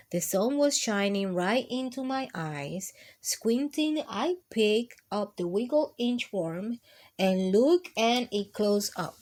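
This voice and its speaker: A woman hosting storytime, reading a children's picture book aloud in an expressive, deliberate way. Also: medium pitch. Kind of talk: scripted storybook narration for children.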